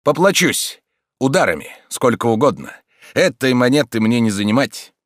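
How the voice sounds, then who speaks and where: A man's voice: medium pitch, animated, normal speed, dry recording, voice pushed up, natural voice. Guy playing a fearless strong stranger, firm voice, mocking, dry sound. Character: fearless strong mysterious man, calm and firm.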